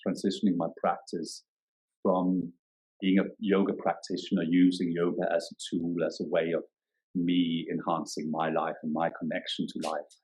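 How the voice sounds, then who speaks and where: A man, a yoga teacher talking thoughtfully in a podcast interview, often pausing and restarting as he searches for words. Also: low-pitched, flat delivery, a little mellow, low-quality recording. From Denmark. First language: Danish.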